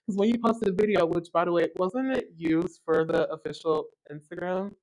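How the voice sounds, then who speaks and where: Young man with a very high tenor voice. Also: steady tone, darkish tone, low-quality recording. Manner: eager, enthusiastic, quick to agree. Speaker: podcast host. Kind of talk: casual podcast chat.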